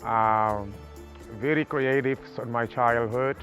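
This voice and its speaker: A man from Senegal with a Senegalese accent, talking haltingly about his art in a documentary interview, with many pauses and fillers. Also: medium pitch, steady tone, a bit bright, soft background music. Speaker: artist.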